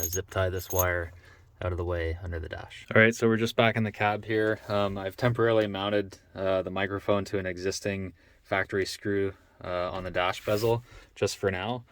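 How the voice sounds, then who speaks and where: Deep voiced guy, pretty monotone, casually walking thru a diy install step by step. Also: normal speed. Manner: plain, matter-of-fact, explains step by step. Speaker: DIY vehicle mechanic, video host. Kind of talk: casual hands-on how-to narration.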